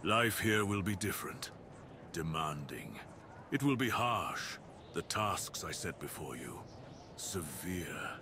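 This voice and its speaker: A man playing a brooding, cursed dire wolf master in an ASMR roleplay, speaking in a solemn, deliberate, theatrical way with formal wording. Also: low-pitched, very animated, background noise, full character voice. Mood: solemn, reassuring. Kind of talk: ASMR roleplay, dramatic monologue.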